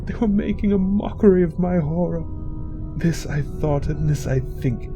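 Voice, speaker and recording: Man acting a frantic, high-strung mad murderer over music. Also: moderate pace, muffled recording, close to an everyday voice. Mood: anguished frenzy. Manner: frantic, raving, shrieking. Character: mad, guilt-tormented murderer confessing in rising frenzy. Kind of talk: gothic horror monologue. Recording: loud music under the voice.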